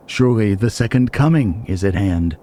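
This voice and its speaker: Man acting as solemn poetry reciter, low voice, drawn-out phrases, theatrical, foreboding, noise behind. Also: lively intonation, mellow, lightly acted. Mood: grave, foreboding. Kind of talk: dramatic poetry reading.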